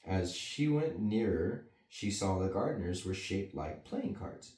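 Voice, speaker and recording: A man with a lowish voice tells a children's bedtime story, dropping in casual asides. His delivery swings widely in pitch. The room echoes around him. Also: animated, a little slow, a little mellow. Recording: roomy echo, mic at a distance.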